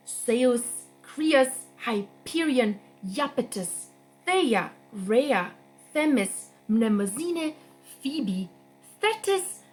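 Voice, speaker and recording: A young woman reading a myth aloud for a children's storytime, slowly and expressively. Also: poor audio. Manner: slow, expressive read-aloud with lively pitch. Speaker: guest reader.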